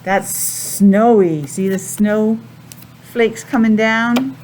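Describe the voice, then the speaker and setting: An American woman teaching young children a simple lesson in a sing-song, questioning way with pauses. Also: medium pitch, steady tone, darkish tone, a little hiss or hum. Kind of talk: children's calendar and weather lesson.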